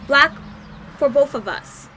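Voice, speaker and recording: A woman's voice: high voice, animated, slow, pushed and bright, slight background noise, exaggerated voice, poor audio. Woman reading as a folksy country wife. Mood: reflective. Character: plain, quietly observant old-time country wife.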